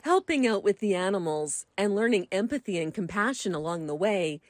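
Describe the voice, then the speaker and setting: A woman reporter reading a scripted news voice-over in a clear, measured way. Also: medium pitch, animated, no room sound.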